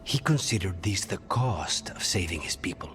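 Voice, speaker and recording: A man's voice: deep voice, lively intonation, moderate pace, a bit bright. A man playing a brooding, blunt and distrustful adventurer in a video game cutscene. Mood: sober. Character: brooding, hard-edged, distrustful man in fantasy party. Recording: music clearly behind the voice.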